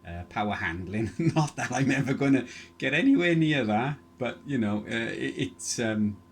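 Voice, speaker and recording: A man's voice: mid-range voice, expressive, moderate pace, a little hiss or hum. A man rambling casually through technical details as he chats as an amateur radio operator. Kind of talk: casual chat over ham radio.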